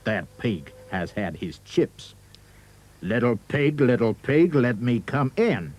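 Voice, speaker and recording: A man's voice: medium pitch, very animated, takes it slowly, darkish tone, a little hiss or hum, voice pushed up. A man doing a greedy gloating wolf. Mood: coaxing. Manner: gloating, drawn out. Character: greedy boastful male wolf.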